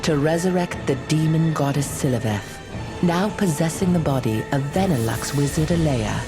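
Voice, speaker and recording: Solemn female lore narrator, measured, dramatic, dark fantasy game, loud music behind. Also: low-pitched, animated. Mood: ominous.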